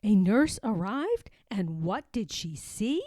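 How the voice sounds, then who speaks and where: Slow expressive woman reading a storybook. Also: mid-range voice, no room sound, clean studio sound. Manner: expressive, slow, sing-song. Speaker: children's book reader. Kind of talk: storybook read-aloud.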